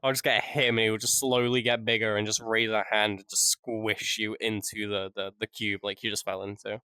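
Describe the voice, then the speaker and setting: A man's voice: fairly low pitch, little pitch movement, normal speed, pushed and bright, clean tone, dry recording. A man co-hosting a podcast, chatting casually and thinking aloud as he goes. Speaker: podcast co-host. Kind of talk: casual chat.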